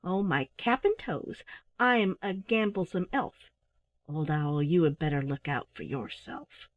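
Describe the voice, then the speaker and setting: A gleeful little elf boy from a children's storybook, acted by a woman. The delivery is mischievous and sing-song, stretching out words with swooping pitch. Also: expressive, a little slow, no room sound, narrow, tinny audio, lightly acted. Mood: taunting.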